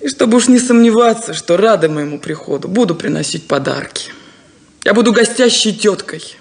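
Woman as a sad, creaky-voiced spinster daughter. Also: mid-range voice, animated, normal speed, natural voice. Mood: resigned, bitter. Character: sad, plain, resigned unmarried farm daughter. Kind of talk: 1970s Soviet radio play acting.

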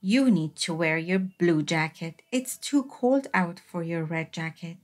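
A woman's voice: on the low side, expressive, measured pace, voice pushed down. A woman voicing a practical, mildly insistent mother reasoning with her small child in a storybook. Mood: firm. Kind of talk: storybook read-aloud.

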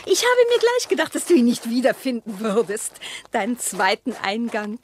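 A sharp-tongued, sceptical wife in a bitter radio-play marriage. Her voice is fairly high and swings expressively, with precise, pointed diction. There is some noise on the recording. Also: sing-song, moderate pace.

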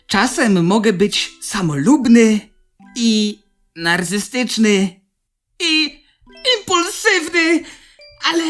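A woman voicing a small, self-important, needy cartoon demon in a theatrical, expressive way for a Polish fan dub. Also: mid-range voice.

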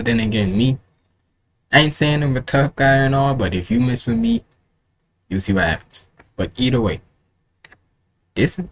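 A man in his twenties giving casual reaction commentary in a rambling, colloquial way, often repeating himself. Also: mid-range voice. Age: 20s. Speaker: reaction video host. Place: indoor room at home with curtains. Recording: noticeable background noise, home recording.

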